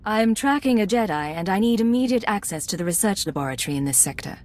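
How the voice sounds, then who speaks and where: An actress playing a commanding, composed secret agent in a video game, with controlled, clipped delivery. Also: female voice, mid-range voice, lively intonation, fast talker, darkish tone, natural voice. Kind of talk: dramatic game dialogue.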